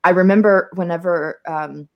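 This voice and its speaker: A young adult woman, a podcast guest, chatting casually and telling stories in an animated, theatrical, self-mocking way. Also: on the low side, moderate pace, dull audio. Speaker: podcast guest, tech marketer. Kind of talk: casual conversational storytelling.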